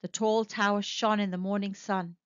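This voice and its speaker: A woman narrating a children's storybook aloud with expressive, animated storytelling. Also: medium pitch, normal speed, clean tone, no echo. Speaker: storybook reader. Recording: dry, dull lo-fi sound.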